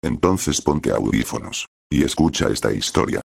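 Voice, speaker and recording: A man's voice: extremely low pitch, expressive, normal speed, vocal fry. A man delivering a scripted introduction as host of a horror story show.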